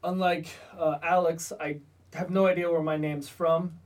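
A man's voice: a little high, little pitch movement, distant mic. A young man, a guest in a casual interview, joking and poking fun at himself. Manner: joking, self-mocking, quick to laugh. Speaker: guest, Army Russian linguist.

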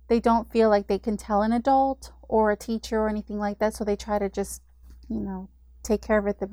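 A woman's voice: medium pitch, dry recording. Woman explaining a program, mid voice, a few you-knows, dry recording. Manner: explanatory, steady, with occasional fillers. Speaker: community services director, guest. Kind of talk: informational interview.

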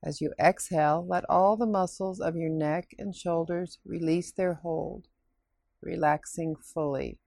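A woman leading a scripted guided relaxation meditation in a soothing, unhurried, instructing way with pauses. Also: fairly low pitch, fairly even intonation, vocal fry, a little mellow, no echo, studio quality.

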